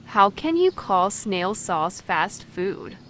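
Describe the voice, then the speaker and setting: A woman voicing an excitable, scatty but kindly witch with emphatic, exclamatory delivery in a storybook reading. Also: mid-range voice, slow pace, voice pushed up. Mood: indignant. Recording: clear background noise.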